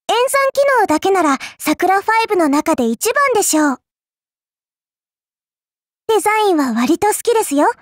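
A woman's voice: very high voice, no echo, studio quality. A woman voicing a small AI servant girl in an anime mobile game, sweet and polite with a prim, smug edge.

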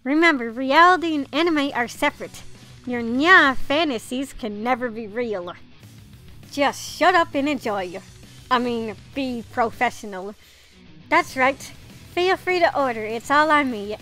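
A woman's voice: high-pitched, animated, measured pace, sharp tone, faint music behind, heavily acted. A woman voicing a smitten, earnest young male student reporter and butler in a visual novel, politely scolding himself. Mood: flustered, polite. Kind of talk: visual novel read-aloud.

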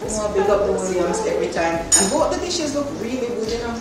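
A man's voice: high voice, expressive, fast talker. Very echoey young man, playful host. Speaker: cooking show co-host. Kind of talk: playful casual banter. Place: home kitchen, indoors. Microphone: clip-on lapel. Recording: echoey room, distant, noisy crowd-like background.

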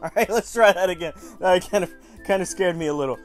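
A man's voice: sits high, fast talker, faint music behind. A man in his twenties hosting a casual toy review, chatty and enthusiastic in an informal way. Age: 20s. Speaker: toy review show host. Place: small room at home.

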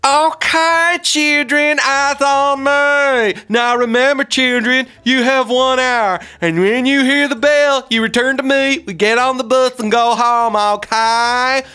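A patient school teacher on a class trip, as a woman puts her on, in a game roleplay. The voice sits very high and sounds bright and smooth. She gives her instructions slowly, in a calm and orderly way. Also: soft background music, exaggerated voice. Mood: instructive.

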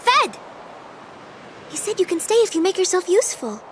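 A young woman playing a perky, bossy teenage girl salvager in a video game, bubbly but brisk. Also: very high voice, very animated, slight background noise. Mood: commanding. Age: young adult.

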